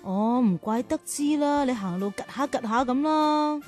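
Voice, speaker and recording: A woman playing a caring, gently chiding big-sister teacher who hosts a children's radio drama. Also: sits high, animated, moderate pace, faint music behind. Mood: coaxing, playful. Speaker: host and teacher.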